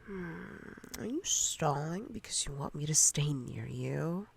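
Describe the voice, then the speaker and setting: A woman playing a domineering, teasing female boss in a whispered ASMR roleplay, breathy and husky, speaking deliberately with seductive command. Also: deep voice, lively intonation, full character voice. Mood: stern, controlling.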